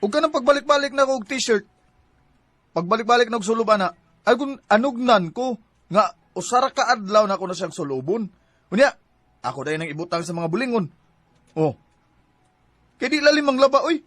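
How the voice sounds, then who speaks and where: A man's voice: sits high, animated, fairly quick, pauses often, a bit bright, no echo. A man with a Cebuano Filipino accent playing a hurt, fed-up young boyfriend who complains plaintively in a radio drama. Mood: aggrieved. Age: young adult. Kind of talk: Bisaya radio drama acting.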